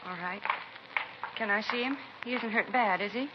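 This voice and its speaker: A woman's voice: mid-range voice, moderate pace, natural voice. A woman playing an anxious, pleading mother in a vintage radio drama. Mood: anxious, insistent. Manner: pleading, insistent, emotional. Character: small, greying, anxious and grief-stricken mother. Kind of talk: 1950s radio drama acting. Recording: dull, noisy vintage radio recording.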